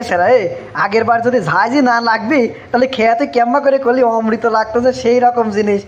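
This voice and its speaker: A man acting a young cartoon drinking buddy, mocking and bossy. He talks very fast in a rural Bangladeshi accent, with an exasperated, scolding edge. The sound is slightly dull and lo-fi. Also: extremely high pitch. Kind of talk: Bengali comic cartoon voice acting.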